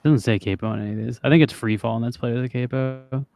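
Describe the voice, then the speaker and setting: A young man with a low, raspy voice, chatting loosely and swearing casually as a podcast co-host. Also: fairly even intonation, fast, darkish tone, soft background music. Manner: loose and profane, trails off with fillers. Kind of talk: casual chat with guitar and singing.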